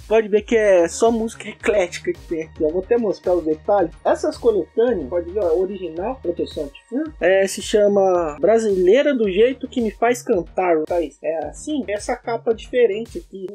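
A man chats casually and slangily to camera as a vlog host. His voice is mellow and a little husky, close on a studio mic in a shelf-lined room. Faint music plays in the background. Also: high voice. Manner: chatty and slangy, talking straight to camera. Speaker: host of a CD-collecting channel. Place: indoor room lined with shelves of discs. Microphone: large studio mic in front of the face.

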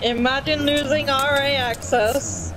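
High, slow-drawling woman in voice chat. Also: fairly even intonation. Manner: sassy, slow drawling retorts. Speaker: gamer friend in voice chat. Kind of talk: casual voice chat while gaming. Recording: game voice chat with game music behind.

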